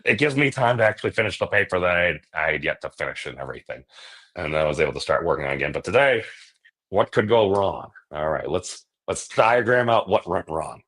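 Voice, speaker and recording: Male livestream host, lowish voice. Casual, digressive, jokey and happy to poke fun at himself. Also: animated, a little fast, a bit bright. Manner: self-deprecating, jokey, digressive. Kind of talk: casual rambling livestream chat.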